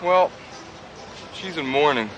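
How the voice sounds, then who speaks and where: A man's voice: on the high side, slow, noisy background. An actor playing an eager, folksy cowboy-style car salesman.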